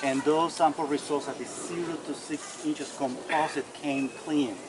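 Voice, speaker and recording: A soft-voiced man who struggles to project, giving a measured, formal technical explanation as a county official at a public meeting. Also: a little high, fairly even intonation. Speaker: county environmental official answering questions. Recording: noisy public meeting, loud ambient noise.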